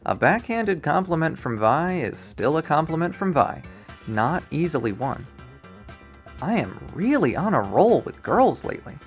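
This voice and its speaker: A man reading a visual novel aloud as first-person narration, in a casual, expressive style. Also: fairly high pitch, measured pace, faint music behind. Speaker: visual novel readthrough host.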